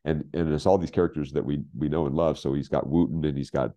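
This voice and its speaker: An American man in his sixties telling stories in casual conversation, halting and emphatic, often repeating phrases for weight. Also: extremely low pitch, fairly even intonation, dark tone. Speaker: guest, scriptwriter. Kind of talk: casual anecdotal storytelling in conversation. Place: home office, video call.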